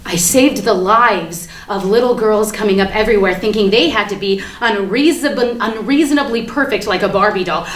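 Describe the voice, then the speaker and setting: A woman's voice: mid-range voice, close to an everyday voice. A woman performing a theatrical monologue as a defiant, ranting everywoman, sardonic and emphatic in her delivery. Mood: indignant ranting. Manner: sardonic, emphatic, swinging from hushed confiding to outraged ranting. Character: ranting, defiant everywoman gleefully confessing she killed Barbie. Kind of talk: theatrical monologue at a staged reading. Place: live staged reading in a room. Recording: echoey room with background noise.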